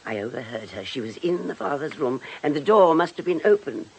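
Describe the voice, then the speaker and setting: A man's voice: fairly high pitch, big pitch swings, a little fast, a little hiss or hum, rough recording. A man voicing a fussy, genteel spinster in a radio drama, hesitant, prim and easily muddled. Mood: flustered, confiding. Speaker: performer in a radio detective drama.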